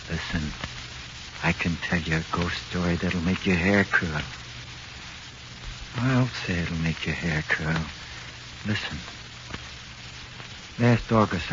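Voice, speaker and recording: An actor playing a cocky, defiant small-time American crook in a 1940s radio drama, talking in slangy tough-guy style. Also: low-pitched. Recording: dull, slightly noisy old radio recording.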